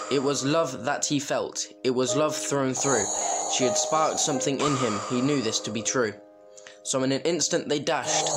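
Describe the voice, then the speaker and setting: Storyteller reading rhymes over loud music. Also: medium pitch, rough recording. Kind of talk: rhyming storybook narration. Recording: music behind the voice.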